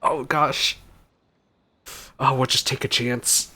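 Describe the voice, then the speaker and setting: A man's voice: medium pitch, steady tone, takes it slowly, pushed and bright, breathy edge. A man giving casual, exclamatory gameplay commentary, informally thinking aloud as he plays. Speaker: gaming video creator.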